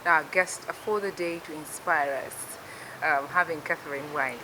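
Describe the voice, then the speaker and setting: A woman's voice: deep voice, moderate pace. A woman hosting a TV business interview show, chatty and upbeat, with many fillers. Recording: noisy recording with steady hiss.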